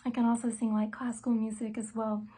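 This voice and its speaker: Light-voiced woman, measured and thoughtful. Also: a little high, flat delivery, warm tone, breathy edge. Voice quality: light, soprano-range voice. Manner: thoughtful and measured, with frequent "um" and "uh" pauses. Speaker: musician and songwriter interviewed about her album. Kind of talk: self-told interview answers, conversational.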